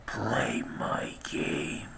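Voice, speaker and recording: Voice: medium pitch, lively intonation, exaggerated voice. Sinister ghostly demon villain, drawn out. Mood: menacing, taunting. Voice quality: breathy menacing whisper. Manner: slow, drawn-out threats. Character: sinister ghostly evil hedgehog clone, menacing and taunting. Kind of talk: amateur horror audio drama acting.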